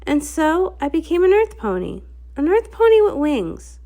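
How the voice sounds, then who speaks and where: A woman's voice: high voice, normal speed. A woman narrating a comic read-along in the first person, with a theatrical, dramatic storytelling style. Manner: theatrical, expressive.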